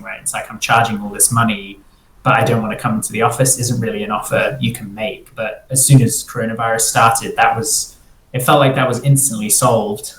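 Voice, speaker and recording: Rambling man in a roomy, echoey room. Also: mid-range voice. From Singapore. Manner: rambling, restarts often, fills with "like". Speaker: guest, freelance front-end developer. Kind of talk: casual interview talk. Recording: roomy echo, faint ambient noise.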